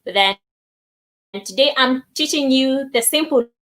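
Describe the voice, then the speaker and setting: A woman giving a language lesson, deliberately repeating words for learners. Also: high voice, a bit bright. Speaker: language teacher. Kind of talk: language lesson, demonstrating greetings.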